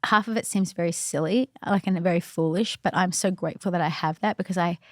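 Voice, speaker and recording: A woman with a fairly low voice shares personal faith stories as a podcast guest. She is chatty and earnest and often fills her sentences with "like" and "you know". The sound is dry and close, with no room echo. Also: fast talker. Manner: earnest and reflective. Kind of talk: casual faith storytelling.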